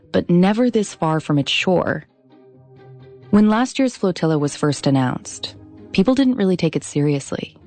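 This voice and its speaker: A woman in her thirties hosting and narrating a scripted documentary podcast, measured and deliberate, slowing down on key phrases. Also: deep voice, mellow.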